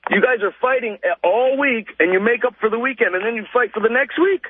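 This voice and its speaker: A man's voice: very high-pitched, expressive, brisk. A man calling a radio show, ranting casually in an emphatic, exasperated way. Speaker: radio show caller, salesman. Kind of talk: casual phone-in rant. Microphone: telephone. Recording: tinny phone line with faint noise.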